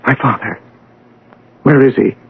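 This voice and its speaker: An actor playing a bitter, proud scientist son in an old-time radio drama, with a theatrical, emphatic delivery. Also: male voice, mid-range voice, unhurried, creaky, breathy edge, phone-quality sound, exaggerated voice. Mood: bleak, brooding. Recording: band-limited radio drama recording.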